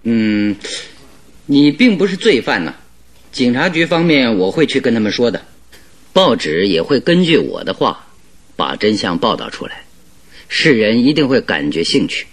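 An actor playing a firm, authoritative gentleman detective giving advice in a Chinese radio drama. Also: medium pitch, big pitch swings. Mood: reassuring. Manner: firm, advising, measured.

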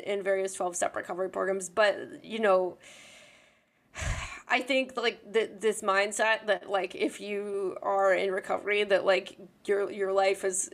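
Woman, medium pitch, casual and candid conversational talk, reflective and informal. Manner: candid, informal, frequent "like" and "you know".

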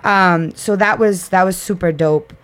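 A young woman from Kenya, a guest telling her story in a casual, slangy, animated way. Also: fairly low pitch, measured pace, smooth. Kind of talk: casual interview chat.

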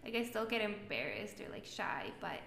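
A woman's voice: mid-range voice, big pitch swings, normal speed, creaky, a bit bright, a little hiss or hum. A young woman with a Mexican accent, chatting casually as a social worker guest who says "like" a lot. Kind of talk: casual podcast chat. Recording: echoey room.

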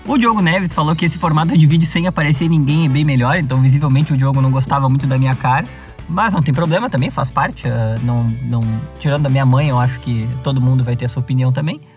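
Young adult male podcast host, southern Brazilian accent, relaxed rambling delivery, faint music bed. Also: medium pitch, fast talker. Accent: southern Brazilian (gaúcho). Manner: conversational, many fillers and self-restarts. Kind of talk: casual podcast monologue.

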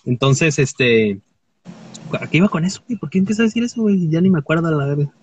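A man's voice: fairly high pitch, animated, fast talker, breathy edge. Joking Mexican man in his twenties. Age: 20s. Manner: joking, slangy, rambling. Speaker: guest. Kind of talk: casual chat.

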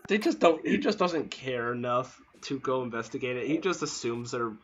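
A man's voice: mid-range voice, lively intonation, moderate pace, fairly bright tone, dull audio. Male game master, explains patiently. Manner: explanatory, patient, talks through interruptions. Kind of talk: narrating a tabletop game.

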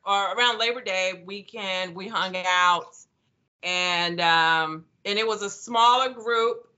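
Chatty woman podcast host, bright voice. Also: mid-range voice, slow pace, muffled recording. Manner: chatty, rambling with many restarts. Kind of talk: casual podcast chat.

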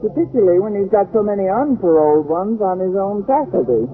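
An actress playing a wry, quick-witted woman schoolteacher who delivers dry wisecracks in an old radio comedy. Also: medium pitch, lively intonation, natural voice. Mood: sardonic, teasing. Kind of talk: 1940s-50s radio comedy acting and narration. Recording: noisy old radio recording, narrow band.

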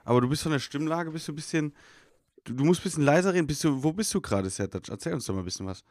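A man's voice: on the high side, lively intonation, rapid-fire, darkish tone, dry recording. A man with a cold co-hosting a podcast, rambling casually with lots of fillers. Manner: rambling, filler-heavy talk. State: has a cold. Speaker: podcast co-host. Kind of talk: casual chat.

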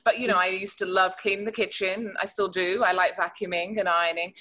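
A woman's voice: medium pitch, steady tone, a little fast, bright, forceful tone. A middle-aged woman with a Northern English accent, talking casually as an interview guest and reminiscing. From north of England, rural Cheshire. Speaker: interview guest, wine educator and professor.

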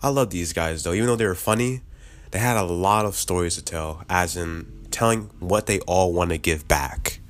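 A man hosting a casual podcast outro, upbeat and chatty, laughing often, a little sick so his voice sits slightly lower. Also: normal speed. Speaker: podcast host.

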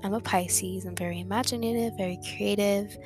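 Young woman, podcast host, soft-spoken and reflective, music playing underneath. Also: mid-range voice, fairly even intonation, a little fast. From Dominican family background. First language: English. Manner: soft-spoken, reflective, repeats herself for emphasis. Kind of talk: casual solo podcast monologue.

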